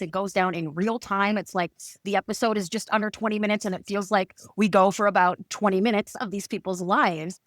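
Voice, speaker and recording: A woman's voice: medium pitch, fairly bright tone, no echo. Enthusiastic woman interviewer, very dry sound. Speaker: podcast interviewer. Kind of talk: casual interview chat.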